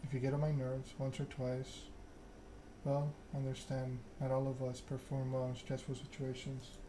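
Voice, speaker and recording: A man voicing a suave, calmly menacing vampire enforcer in a game, with a slightly bored manner. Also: medium pitch, normal speed, lightly acted. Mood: calm menace. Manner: calm, slightly bored monotone. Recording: clear background ambience noise.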